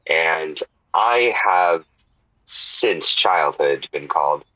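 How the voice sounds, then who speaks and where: A man's voice: a bass voice, lively intonation, very slow, pushed and bright, no echo, full character voice. A man playing a put-upon, hesitant caller on a comedy radio advice show, telling his troubles plaintively through a dull lo-fi line. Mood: aggrieved, sheepish. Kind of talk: improvised comedy radio call-in.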